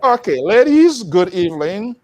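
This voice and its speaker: A man hosting a broadcast panel talk show, rambling and animated, laughing as he tells stories. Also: high voice, normal speed, a crowd in the background. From Liberia. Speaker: host.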